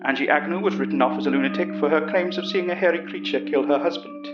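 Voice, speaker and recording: Man as an elderly English professor, earnest storyteller, higher-pitched voice, horror audio drama, roomy recording. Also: quick pace, over loud music, natural voice. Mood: grave. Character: elderly English professor recounting monster encounters, earnest and calm. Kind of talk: first-person horror audio drama narration. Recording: roomy, slightly dull sound.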